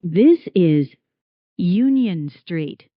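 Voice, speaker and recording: Female subway announcer, lowish voice with swooping intonation, clipped segmented phrasing, dry lo-fi recording. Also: lively intonation, drawn-out words, no echo. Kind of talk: scripted automated transit announcement. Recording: dull lo-fi transit announcement audio.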